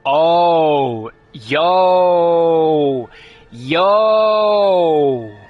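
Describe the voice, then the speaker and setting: A man streaming a video game, chatting casually and excitably, rambling with lots of fillers and restarts. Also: a little high, drawn-out words, narrow, tinny audio. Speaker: gaming streamer. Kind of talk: casual live commentary while gaming. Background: faint game music.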